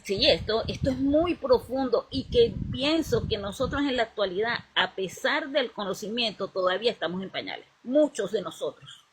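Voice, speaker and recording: A woman's voice: fairly high pitch, lively intonation, normal speed, hardly any pauses, background noise. Rambling woman talking spirituality. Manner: rambling, searching for words, restarting sentences. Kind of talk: casual conversation about spirituality.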